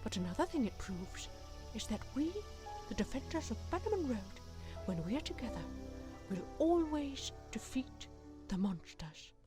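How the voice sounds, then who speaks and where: Confident heroine played by a woman for audio drama: very animated, high-pitched, triumphant. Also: normal speed, over music. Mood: reassuring. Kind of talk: fan audio drama acting.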